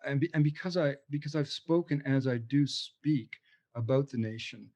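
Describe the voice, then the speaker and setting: A man's voice: mid-range voice, moderate pace, warm tone. A man, an author and anthropologist, answering interview questions in a passionate, discursive way, often restarting his sentences.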